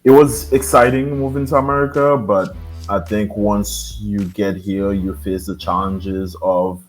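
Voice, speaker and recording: A man from Haiti with a Haitian accent, in his thirties, a podcast guest telling his own story in a soft, hesitant way with many fillers. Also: on the low side, steady tone, measured pace, soft background music, poor audio. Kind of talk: interview, personal storytelling.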